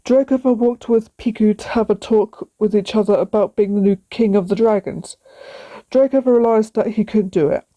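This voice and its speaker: A woman's voice: medium pitch, moderate pace, mellow, dry recording, muffled recording. A woman narrating a story aloud, sometimes stumbling and repeating words.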